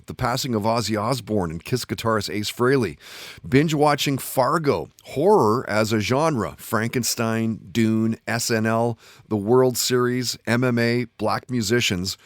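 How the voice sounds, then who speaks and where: A man hosting a podcast, reading a brisk intro and then interviewing a guest. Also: mid-range voice, no echo, clean studio sound. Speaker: podcast host.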